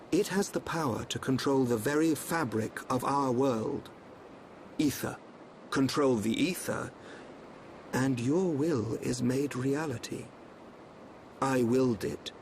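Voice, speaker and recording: A man voicing a calm, enigmatic young seer of a royal court, speaking in a measured, formal, cryptic way in a video game. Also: medium pitch, slight background noise. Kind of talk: scripted video game cutscene acting.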